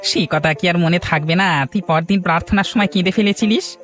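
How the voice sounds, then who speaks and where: A man's voice: high-pitched, brisk, fairly bright tone, soft background music. A man voicing a humble, plain-spoken village fellow in a cartoon, earnest and fretful. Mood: teasing. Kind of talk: cartoon dialogue.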